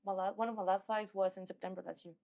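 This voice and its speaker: A woman's voice: mid-range voice, level tone, fast, mellow. A woman from Mexico with a Mexican accent, talking casually as an athlete being interviewed. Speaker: guest, professional MMA fighter.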